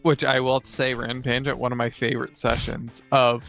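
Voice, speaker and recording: A man's voice: medium pitch, flat delivery, a little fast, creaky, a bit bright. A man co-hosting a casual gaming chat, explaining things conversationally as one of the players. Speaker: podcast co-host and player. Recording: slightly dull audio, music behind. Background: music.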